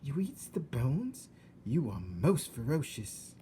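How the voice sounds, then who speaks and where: A man voicing a loud, simple-minded, hard-of-hearing servant girl in a storybook, with an eager, ungrammatical way of talking. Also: medium pitch, wildly swooping pitch, takes it slowly, slight background noise, pitched above the performer's own voice. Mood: admiring. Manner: shouting, ungrammatical, dim and eager.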